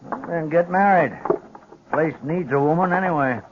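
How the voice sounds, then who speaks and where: A man's voice: on the high side, moderate pace, background noise, natural voice. An American actor playing an older, plain-spoken, stubborn rancher father with a folksy drawl in a 1950s radio western. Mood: fatherly, steady. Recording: muffled vintage radio recording.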